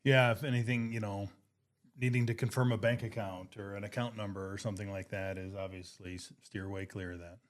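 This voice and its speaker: A man with an American accent and a somewhat low voice chats casually as a podcast co-host. His manner is agreeable and conversational. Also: steady tone, a little fast. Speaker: podcast co-host and financial advisor. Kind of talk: casual chat.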